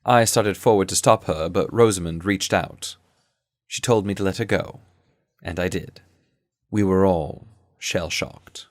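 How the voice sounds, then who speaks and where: A man performs an earnest sleuth, shaken by what he has seen, recounting his adventure in audio drama. The voice sits a little low and the phrasing is literary and measured. The sound is close and dry, with little room to it. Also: dry recording. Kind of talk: scripted first-person audio drama narration.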